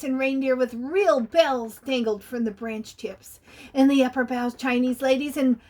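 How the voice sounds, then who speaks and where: A woman's voice: high voice, a bit bright. A woman reading a picture book aloud to young children, playful and asking her listeners questions.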